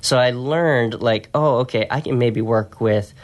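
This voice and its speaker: A soft-spoken man talking in an easygoing, reflective way as a podcast guest, telling stories about his work in a conversational interview. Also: medium pitch, measured pace. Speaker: podcast guest, strength and conditioning coach.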